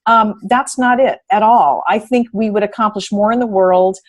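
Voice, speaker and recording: Effusive woman panelist, mid-pitched. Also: normal speed. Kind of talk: casual unscripted panel remarks.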